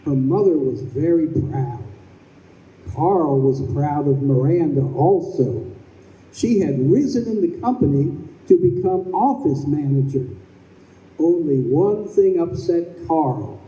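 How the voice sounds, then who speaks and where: Male narrator, unhurried, dark soft tone, scripted radio drama, echoey and dull distant recording. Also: fairly high pitch, animated. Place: convention room stage performance.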